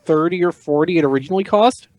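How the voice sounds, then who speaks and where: A man's voice: fairly high pitch, quick pace, darkish tone, no room sound. A man talking as a panelist on a podcast, in casual conversation. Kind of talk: casual chat.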